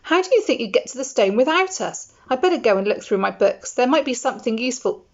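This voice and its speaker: A woman voicing an anxious, bookish schoolgirl witch who talks in a breathless, high-strung way, on a dull lo-fi recording. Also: sing-song, fast. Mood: brisk.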